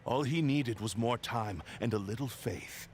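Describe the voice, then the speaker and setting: An actor playing a ruthless, arrogant possessed sword spirit in a game, delivering a cold, grandiose villain monologue. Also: male voice, medium pitch, measured pace, slight background noise, lightly acted. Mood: menacing. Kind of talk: game voice acting.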